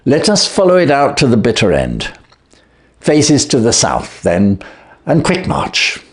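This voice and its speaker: A man voicing a brisk, shrewd, self-assured Victorian detective in a storybook, speaking decisively and articulately. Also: medium pitch, wildly swooping pitch, voice pushed up. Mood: resolute. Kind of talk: storybook character voice.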